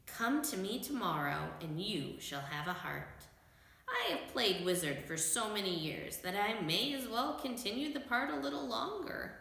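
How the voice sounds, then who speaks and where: A woman's voice: mid-range voice, sing-song, unhurried, creaky, a bit bright, heavy reverb, pitched above the performer's own voice, heavily acted, low-quality recording. A woman voicing a very old storybook wizard, meek and apologetic, who reasons and confesses mildly. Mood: meek, reasoning. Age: older.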